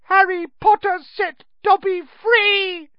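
A man voicing a small, excitable male elf servant in a storybook, shrill and squeaky, humble and fervently devoted. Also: slow, no echo, dull audio. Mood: joyful. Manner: speaks of himself in third person, servile, fervent.

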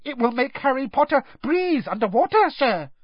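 A narrator voicing a small male house-elf servant, squeaky, earnest and deferential, in an audiobook style. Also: very animated, moderate pace, husky, no echo, dull audio, heavily acted. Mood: urgent instructing. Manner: speaks of himself in third person, deferential, broken grammar. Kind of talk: audiobook character voice.

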